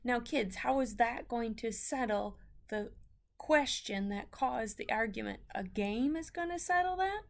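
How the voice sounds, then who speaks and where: Woman, teacher, fairly high voice, very slow pace, read-aloud for children, explanatory. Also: expressive. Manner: deliberate, explanatory, pausing to address the children.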